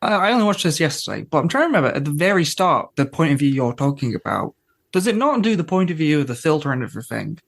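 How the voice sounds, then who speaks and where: A man's voice: a little high, very animated, quick pace, gravelly, a bit bright. A man on a podcast panel, chatting casually and reasoning things out aloud with questions. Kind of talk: casual chat.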